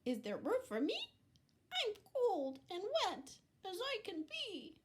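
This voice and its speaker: A woman's voice: extremely high pitch, wildly swooping pitch, takes it slowly, noisy background, voice pushed up, full character voice. A woman voicing a small, plaintive little pig in a picture book. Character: cold wet little pig.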